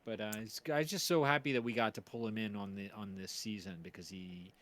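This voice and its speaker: A man hosting a casual livestream chat, telling stories in a rambling, halting way. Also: lowish voice, steady tone, a bit bright. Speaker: stream host and series producer.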